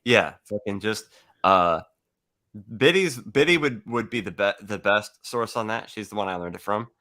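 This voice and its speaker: A man's voice: lowish voice, lively intonation, moderate pace, sharp tone. An American man from California chatting casually as an online host, rambling and jokey, with profanity. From California, United States. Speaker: livestream host.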